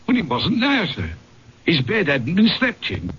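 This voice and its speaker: An actor playing a deferential, talkative household servant who explains himself hesitantly, in old-time radio drama style. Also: male voice, on the high side, wildly swooping pitch, a little slow, fairly bright tone, full character voice. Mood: flustered, explaining. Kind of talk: period radio drama acting. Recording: dull old radio recording, faint noise.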